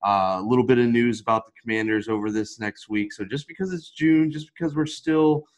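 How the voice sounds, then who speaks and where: A man's voice: mid-range voice, fairly quick. A man hosting a casual sports talk show, upbeat and steering the conversation with questions. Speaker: podcast host.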